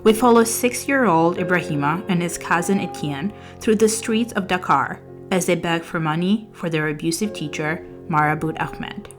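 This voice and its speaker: A woman with a fairly low voice, a native Albanian speaker, reviewing her month's books in a relaxed way. She moves between loose chat with the odd "um" and smoother read-out plot summaries, with soft music playing underneath. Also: moderate pace, few pauses. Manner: conversational, with fillers and restarts, partly read-out summaries. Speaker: book vlogger. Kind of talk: casual book review over journaling.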